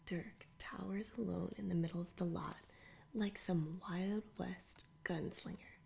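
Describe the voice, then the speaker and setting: A woman reading a children's adventure story aloud entirely in a soft whisper, in ASMR style. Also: on the low side, steady tone, measured pace, gravelly, slight background noise. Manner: whispers throughout. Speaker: storybook reader.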